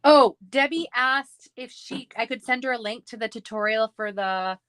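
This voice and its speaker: Chatty Canadian woman, higher-pitched, close and dry. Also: moderate pace, fairly bright tone, smooth, no echo. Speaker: craft livestream host. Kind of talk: casual chat while crafting.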